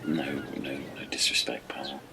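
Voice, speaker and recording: A man's voice: extremely low pitch, monotone, measured pace, gravelly, in a whisper, heavily acted. A man playing an anxious, sceptical rocket passenger in an amateur audio comedy.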